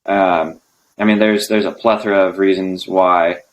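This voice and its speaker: A young man speaking as a podcast guest, relaxed and hesitant, with many ums. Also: deep voice, a little slow, poor audio. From United States. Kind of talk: casual interview conversation.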